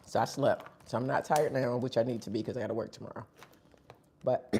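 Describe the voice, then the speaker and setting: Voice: medium pitch, fairly even intonation, fairly quick, gravelly, warm tone, breathy edge. A live-stream host chatting casually between bites of food, sniffling. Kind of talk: casual live-stream chat while eating.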